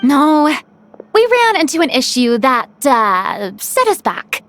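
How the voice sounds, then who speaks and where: A woman voicing a theatrical, generous young film director with a breezy, expressive manner in a video game. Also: high voice, takes it slowly, background noise, heavily acted. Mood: relieved, friendly.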